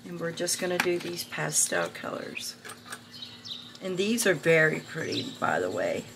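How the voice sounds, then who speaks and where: Hoarse middle-aged woman host, gravelly raspy voice, unhurried art review, birds chirping in a noisy room. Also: lowish voice, sing-song. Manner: slow, rambling, many fillers and restarts. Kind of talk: casual art supply review.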